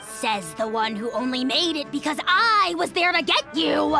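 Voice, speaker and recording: A woman's voice: high voice, normal speed, vocal fry. A woman voicing a small, self-important video game creature who complains in a whiny, theatrical way. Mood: indignant. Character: small bratty self-important companion creature, loudly aggrieved. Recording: music playing behind the voice.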